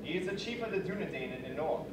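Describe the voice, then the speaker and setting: A man playing a commanding, grave elf lord in a staged fantasy reading, loud and declamatory. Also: on the high side, expressive, fast talker, natural voice. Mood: grave, declaring. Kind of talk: staged reader's theater performance. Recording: echoey distant stage, noisy.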